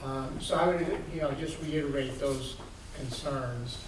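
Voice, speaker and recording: A mid-pitched man makes a measured public comment at a town hearing, with plenty of ums and uhs. He is heard from afar in a very echoey hall. Clear background noise sits behind him. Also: fairly even intonation, recorded from a distance. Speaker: local resident speaking at a public hearing. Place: large echoey meeting hall.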